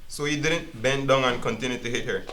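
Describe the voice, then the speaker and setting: A man's voice: mid-range voice, a little fast, background noise, lightly acted. A man playing a stern, formal family court judge in a dramatized hearing. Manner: formal, authoritative.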